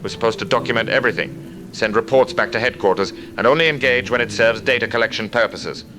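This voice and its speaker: A man playing a disillusioned middle-aged mercenary soldier giving grim, measured testimony in an audio drama. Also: mid-range voice, noisy background, close to an everyday voice. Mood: grim, bitter. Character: weary disillusioned male mercenary soldier, bitter and defiant. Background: background music.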